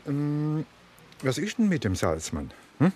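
An actor playing a blunt, inquisitive police inspector in a radio drama, questioning and musing. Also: male voice, fairly high pitch, wildly swooping pitch, a little slow, darkish tone, a little hiss or hum.

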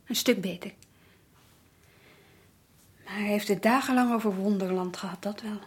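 An actress playing a patient, concerned visitor in a radio drama, speaking politely and attentively with gentle questions. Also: female voice, medium pitch, normal speed, husky, natural voice. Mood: friendly. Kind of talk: naturalistic radio drama acting.

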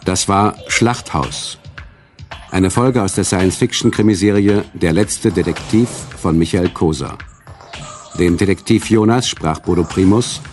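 A man with a husky voice reading scripted closing credits as a radio announcer. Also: low-pitched, unhurried, a little mellow. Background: music.

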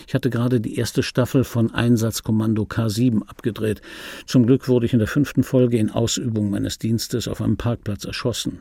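An actor playing a middle-aged film dubbing actor in a radio play, reminiscing in a wry, pedantic, digressive way with a gravelly, husky voice. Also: male voice, fairly low pitch, a little mellow, no echo, clean studio sound. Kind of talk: radio play monologue, confiding first-person reminiscence. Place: dry radio drama studio.

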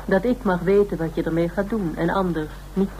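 A faintly noisy radio play recording of a woman acting a private detective. Her voice is on the low side, and she is blunt, businesslike and insistent, never rattled. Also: steady tone, fairly quick, dark tone, close to an everyday voice. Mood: cool, insistent. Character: Tera, blunt, probing, unflappable female private detective.

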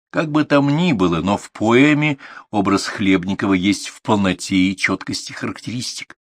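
A man with a very low voice delivers a slow, essay-like lecture. His reading is measured and emphatic, and his pitch leaps on key words. The sound is dry and close, with no room around it. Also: a bit bright, no room sound.

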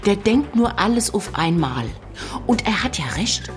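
An actress playing a chatty, loyal police secretary in a radio crime drama, gossipy and confiding in manner. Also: female voice, medium pitch, sing-song, unhurried, faint music behind.

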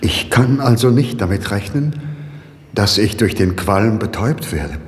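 A doomed priest in an old radio play, lowish voice that swings a lot, reverby room w faint music. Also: male voice, expressive, measured pace. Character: condemned male priest awaiting execution. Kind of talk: 1960s radio drama acting.

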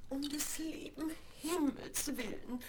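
A woman's voice: high-pitched, slow. An actress playing a prim, proper middle-aged upper-class mother in a seventies radio play on vinyl. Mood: disapproving. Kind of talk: 1970s German radio play acting. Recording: crackly 1970s vinyl record.

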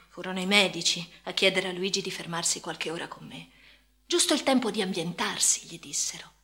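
A woman's voice: medium pitch, fairly bright tone. An actress playing a haunted woman patient in a first-person radio drama monologue, speaking intimately and often dropping toward a whisper. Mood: haunted, recollecting. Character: haunted woman patient recounting institutional life. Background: music.

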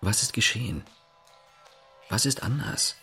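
A man's voice: on the low side, unhurried, creaky, sharp tone, husky, faint music behind, exaggerated voice. An actor playing a sceptical, terse man who probes with questions in a naturalistic radio play. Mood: sceptical, questioning. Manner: probing, terse. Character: sceptical, probing East German man loyal to his state.

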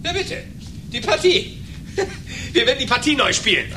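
A man's voice: extremely high pitch, sing-song, moderate pace, background noise. An actor playing a hot-headed, boastful man in a radio play, agitated and stammering as he repeats himself. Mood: feverishly eager. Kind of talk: German radio play acting.

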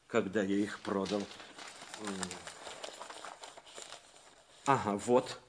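A man acting an indignant, respectable workshop owner in a Russian audio play, speaking emphatically and repeating words. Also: lowish voice. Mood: obliging.

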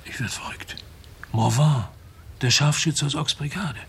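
A man's voice: a little high, a little slow, gravelly, fairly bright tone, slightly rough, heavily acted. An actor playing a world-weary crime investigator in a radio drama, brooding and laconic as he narrates and talks. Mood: grim.

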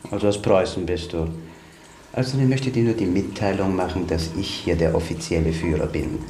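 An actor playing a stern, blunt young socialist leader who talks in commanding, oratorical phrases in a radio drama. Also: male voice, low voice, fairly quick, dark tone, natural voice. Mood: cold, suspicious. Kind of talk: stage-like radio drama acting. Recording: roomy echo, faint background noise.

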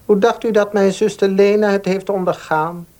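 A man's voice: high-pitched, steady tone, moderate pace, darkish tone, lightly acted. Man playing a formal, cautious baker. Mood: cautious, protective. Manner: formal, deferential, hesitant. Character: polite, cautious baker, protective older brother. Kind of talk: Dutch radio play acting.

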